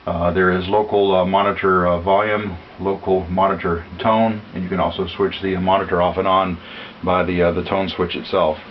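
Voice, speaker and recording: A man giving an unscripted technical demonstration as a seller, matter-of-fact and halting, with frequent uhs. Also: low-pitched, little pitch movement, slight background noise. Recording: dull lo-fi audio, music behind. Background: music played from demonstration equipment.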